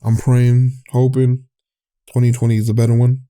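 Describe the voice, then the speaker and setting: A man's voice: medium pitch, monotone, normal speed, warm tone. A man hosting his own podcast, talking in a candid, rambling way, often trailing off and restarting, his voice getting tired. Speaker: podcast host. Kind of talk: casual rambling monologue.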